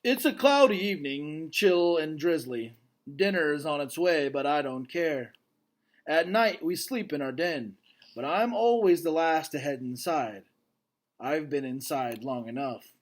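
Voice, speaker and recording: A man reading a children's story aloud expressively, with dramatic pauses and a conversational storytelling style. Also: a little high, expressive. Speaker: read-aloud narrator. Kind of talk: storytelling read aloud with casual asides to young listeners.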